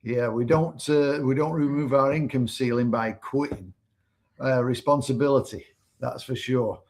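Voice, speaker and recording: A man co-hosts a casual livestream in an easygoing, conversational way, expanding on what his partner says. His voice sits at an ordinary middle pitch with a plain everyday tone. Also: normal speed, a little mellow. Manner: laid-back, conversational, adds to her points. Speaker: co-host.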